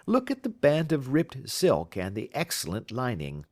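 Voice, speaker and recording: A man voicing a brilliant, self-assured detective in an audiobook, lecturing crisply and precisely with a teasing edge. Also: mid-range voice, huge pitch swings, moderate pace, a little mellow, voice pushed up. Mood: triumphant, explaining. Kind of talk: audiobook character dialogue.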